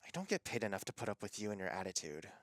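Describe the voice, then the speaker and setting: A dry recording of a man playing an affronted radio performer in an audio drama. His fairly low voice is self-important and huffy. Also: sing-song, rapid-fire, a bit bright, dry recording. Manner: affected, huffy.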